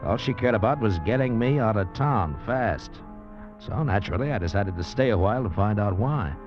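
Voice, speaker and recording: A man's voice: on the low side, lively intonation, brisk, close to an everyday voice. A man playing a slangy, cynical drifter who narrates his own story in 1940s radio noir style. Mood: suspicious narration. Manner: hard-boiled, slangy, casual. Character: handsome drifter, failed movie actor, wary cynical noir narrator. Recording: muffled vintage radio recording, loud music.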